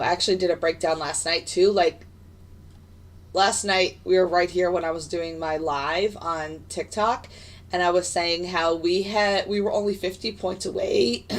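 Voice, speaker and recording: A woman with a raspy voice and hiccups, chatting casually and rambling as she streams live trading commentary from her desk. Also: on the low side. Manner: chatty, rambling, many fillers and restarts. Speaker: futures day trader streaming live. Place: home desk in front of a computer.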